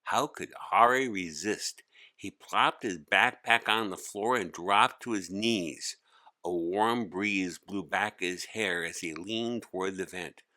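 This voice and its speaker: Slow, bright-voiced man reading a storybook. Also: low-pitched. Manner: expressive read-aloud. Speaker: author narrating own children's story. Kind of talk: scripted storybook narration.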